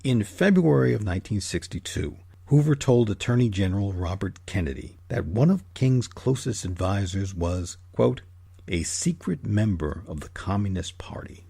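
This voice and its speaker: Man, history narrator, gravelly creak, dark mellow tone, measured and emphatic, scripted delivery. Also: fairly low pitch, animated, dry recording. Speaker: host and narrator.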